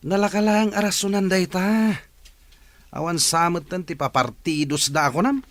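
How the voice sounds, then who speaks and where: A man's voice: high voice, fairly even intonation, normal speed, dry recording, close to an everyday voice. A man playing a persuasive companion in a radio drama.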